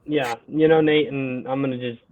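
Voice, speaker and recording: A man's voice: medium pitch, moderate pace, dry recording. A young man hosting a college sports podcast in a casual, upbeat way. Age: young adult. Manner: upbeat, energetic intro then relaxed. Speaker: podcast host. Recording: tinny phone-like line, dry.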